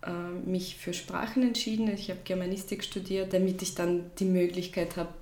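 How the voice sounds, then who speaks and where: A woman's voice: lowish voice, fairly even intonation, moderate pace, darkish tone. A woman in her thirties from Russia, a native Russian speaker, telling her personal story as an interview guest in a reflective, hesitant way. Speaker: podcast guest, shop founder. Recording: roomy echo, faint background noise.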